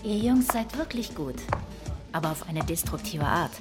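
A woman's voice: on the low side, expressive, moderate pace, loud music behind the voice, lightly acted. An actress playing a self-assured female bounty hunter in a German radio crime drama, talking bluntly with a needling confidence. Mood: confident, challenging.

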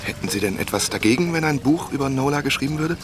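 A man's voice: medium pitch, lively intonation, quick pace, close to an everyday voice. Radio play part: man acting courteous, persistent writer-investigator, dialogue played over prominent music. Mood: careful, sympathetic. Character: polite, persistent male writer questioning a bereaved father. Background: loud music.

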